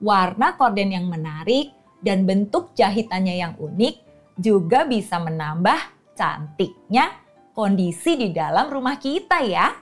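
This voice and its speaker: Woman storyteller for kids, unhurried and bright-voiced with a very lively pitch, soft music underneath. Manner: animated, exclaiming, addresses children warmly. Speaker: children's storyteller and host. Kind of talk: cheerful storytelling and riddles for young children.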